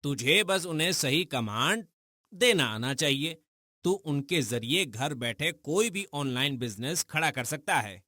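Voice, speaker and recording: An actor playing a brash, upbeat young friend in a cartoon story, colloquial and teasing. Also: male voice, fairly high pitch, expressive, a little slow, pushed and bright, no room sound, studio quality, exaggerated voice. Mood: persuasive. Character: brash, upbeat young buddy giving advice.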